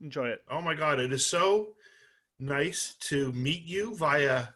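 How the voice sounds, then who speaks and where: A man hosting a podcast in a loose, rambling chat style, often letting his sentences trail off softly. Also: on the high side, takes it slowly. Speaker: podcast host. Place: home setup in Northern Virginia.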